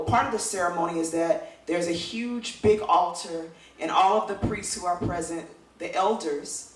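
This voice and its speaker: An older woman on a discussion panel, telling stories in an animated way with a big, full voice. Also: deep voice. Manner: animated storytelling. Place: event hall. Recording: echoey room, distant mic.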